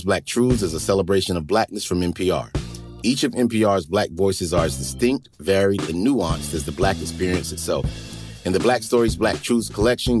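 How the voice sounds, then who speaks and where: Deep-voiced man reading a promo over music. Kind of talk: scripted promo read.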